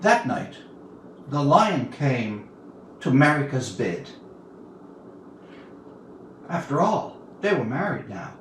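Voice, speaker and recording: A man in his seventies from Newfoundland reads his own literary tale aloud as its author, in a measured, expressive storytelling manner with dramatic pauses. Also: medium pitch. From Newfoundland, Canada. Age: 70s. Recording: roomy, distant mic, faint background noise.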